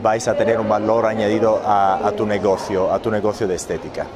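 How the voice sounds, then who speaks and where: A man's voice: fairly low pitch, little pitch movement, a little fast, echoey room, over a noisy crowd. A man born in Italy, speaking as the founder of an aesthetics business, hesitant with many fillers and restarts. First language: Italian. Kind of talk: promotional talk and interview.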